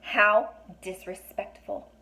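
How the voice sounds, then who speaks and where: A woman's voice: medium pitch, slow, gravelly, bright, forceful tone, exaggerated voice, low-quality recording. Woman reading as a stern, formal fairy elder. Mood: affronted. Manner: formal, severe. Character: stern, affronted fairy council members passing judgment.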